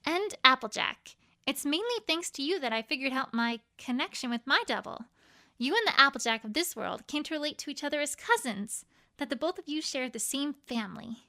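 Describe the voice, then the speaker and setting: A woman's voice: high-pitched, animated, brisk, bright, forceful tone, exaggerated voice. A woman voicing an earnest, bookish young female unicorn in a cartoon-pony story, explaining things carefully and a little formally. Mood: explanatory.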